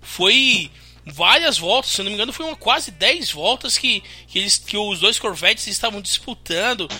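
Brazilian man, high and very bright voice, co-host reading race results and chatting. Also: expressive, moderate pace, slightly rough. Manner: reels off race results, then banters. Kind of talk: casual podcast chat.

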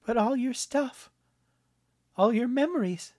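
A man narrating a story, voicing an earnest, worried young boy in a high, urgent voice. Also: takes it slowly, a little mellow, no room sound, studio quality, full character voice. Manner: urgent, pleading boyish voice.

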